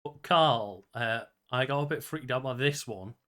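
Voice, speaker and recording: Bright, forceful man in casual chat. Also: medium pitch, normal speed. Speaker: podcast co-host.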